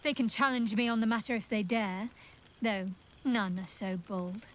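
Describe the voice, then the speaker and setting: A woman playing a devout, commanding young dark priestess in a video game, speaking in a measured, formal, wry and intimate way. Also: on the high side, natural voice. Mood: composed, proud. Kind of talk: video game character dialogue. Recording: game dialogue over background music. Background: music.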